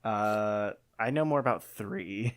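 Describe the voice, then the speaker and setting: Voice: mid-range voice, slow pace. A podcast co-host in casual chat about games, putting in short questions and reactions. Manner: inquisitive, brief reactions and questions.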